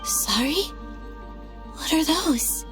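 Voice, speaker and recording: A woman voicing a gentle, wistful young moon goddess in an anime game, soft and polite. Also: high voice, expressive, drawn-out words, music in the background, exaggerated voice. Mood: curious, hesitant. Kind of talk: anime game cutscene acting.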